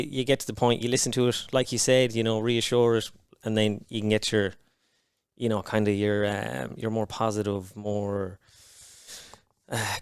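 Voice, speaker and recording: A man talking frankly on a podcast, cursing freely and stopping to restart his thoughts. His voice is smooth and a little low, recorded dry and close with no room sound. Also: no room sound. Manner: frank and heavy-swearing, halting restarts. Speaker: podcast guest. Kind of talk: candid conversation.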